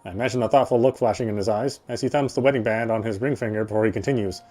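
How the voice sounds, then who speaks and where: Male reader for game narration: mellow, fast-paced, reflective and dramatic, with music underneath. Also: lowish voice. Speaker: player reading a visual novel aloud. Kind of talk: dramatic reading of first-person game narration. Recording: music behind the voice.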